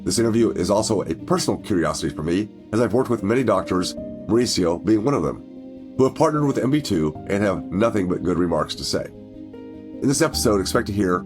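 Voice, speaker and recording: A man hosting a podcast, his voice raspy and deeper than usual from a head cold, talking in an easy, measured way. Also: animated, music in the background. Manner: conversational, measured pace with drawn-out phrases. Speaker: podcast host. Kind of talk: podcast intro and interview.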